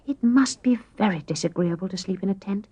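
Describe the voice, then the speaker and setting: A woman's voice: medium pitch, brisk, warm tone, breathy edge, voice pushed up, natural voice. A woman voicing a prim, fussy young girl, the youngest sister, with a mannered delivery in an audiobook. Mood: complaining.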